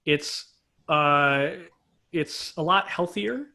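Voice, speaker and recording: A man's voice: fairly high pitch, very slow, gravelly, pushed and bright. A man speaking as an interview guest, a composer and conductor explaining things conversationally in a thoughtful, self-correcting way.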